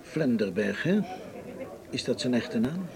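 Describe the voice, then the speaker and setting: Stiff, coldly suspicious Nazi official. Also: male voice, medium pitch, very animated, measured pace, vocal fry, background noise. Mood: menacing. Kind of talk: Dutch radio drama acting.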